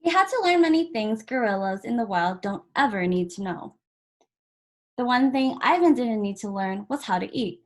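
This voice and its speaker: A woman reading a children's picture book aloud as a volunteer story reader, in measured phrases with pauses between them. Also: a little high, lively intonation.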